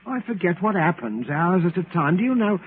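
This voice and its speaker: A man's voice: high-pitched, big pitch swings, fairly quick. An actor playing a meek, troubled travelling salesman in an old-time radio drama, speaking hesitantly and deferentially. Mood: troubled, apologetic. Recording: muffled, noisy old radio recording.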